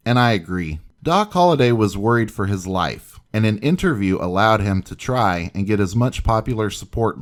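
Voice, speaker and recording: A man hosting a history video, reading scripted documentary narration. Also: on the low side.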